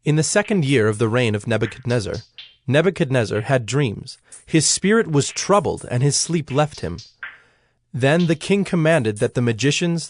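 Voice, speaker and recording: A man narrating a scripted, dramatized scripture reading in a measured, solemn manner. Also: medium pitch. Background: instrumental music.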